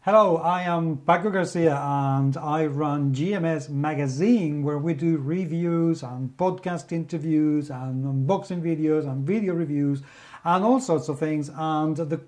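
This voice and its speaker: A man's voice: a little high, a little slow, darkish tone. A man from Spain with a Spanish accent, reviewing a favorite role-playing game in an effusive, enthusiastic monologue full of superlatives. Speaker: guest reviewer, magazine editor.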